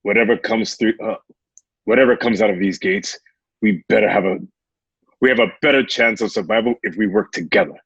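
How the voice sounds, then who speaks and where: A grim gladiator commander acted by a man speaks in terse, resolute phrases for a radio play. The voice is gravelly and husky, captured on a dull, lo-fi home recording. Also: lowish voice, very animated, fairly quick, fairly bright tone, dry recording, exaggerated voice. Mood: grim, commanding. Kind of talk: amateur radio play acting.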